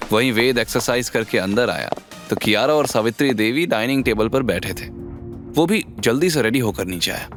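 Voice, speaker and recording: A male narrator tells a dramatic serial story in a scripted, suspenseful style. He mixes English words into his Hindi. Music plays behind his voice. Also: medium pitch.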